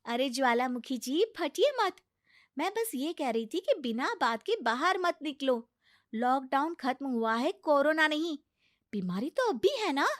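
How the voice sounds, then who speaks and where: A woman's voice: high-pitched, very animated, unhurried, no echo, clean studio sound. A woman voicing a young, nagging, sarcastic daughter-in-law in a comic cartoon story, with pleading, exasperated and teasing retorts.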